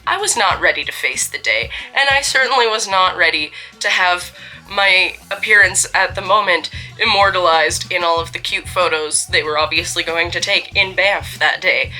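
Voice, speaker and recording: A woman's voice: lowish voice, normal speed, bright, forceful tone, faint music behind, rough recording. A young woman from Canada telling a casual story-time vlog in a chatty, wry, self-deprecating way while getting over a cold.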